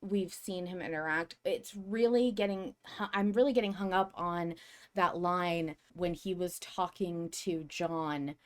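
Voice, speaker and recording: Slightly low-voiced woman, animated podcast co-host. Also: measured pace. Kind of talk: casual fan discussion podcast.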